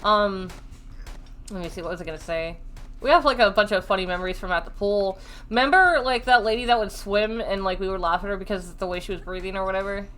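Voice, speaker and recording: A woman's voice: mid-range voice, fast talker, a bit bright. A woman chatting casually over gameplay, reminiscing, giggling and swearing lightly. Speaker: co-host.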